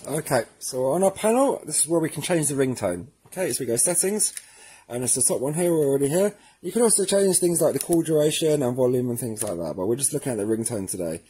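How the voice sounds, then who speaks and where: A man's voice: medium pitch, animated, fast, warm tone, husky, rough recording. A man hosting a how-to video, talking informally and chattily as he explains things step by step. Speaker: host of a how-to video series. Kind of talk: casual tutorial narration.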